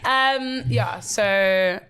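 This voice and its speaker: A woman, a guest on a casual podcast chat, playful and quick to laugh. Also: medium pitch, a bit bright, smooth. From South Africa.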